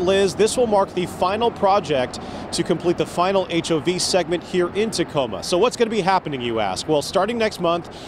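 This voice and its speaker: An American man giving a live TV news report as a field reporter outdoors. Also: on the high side. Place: outdoors near a freeway. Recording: clear outdoor traffic noise.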